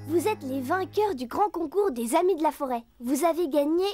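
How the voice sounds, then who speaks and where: A woman's voice: sits high, lively intonation, moderate pace. A woman voicing a bossy little girl who takes charge in a children's cartoon. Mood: cheerful announcing. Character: bright, bossy little blonde girl leading animal friends. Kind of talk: French children's cartoon dubbing. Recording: loud music over the voice.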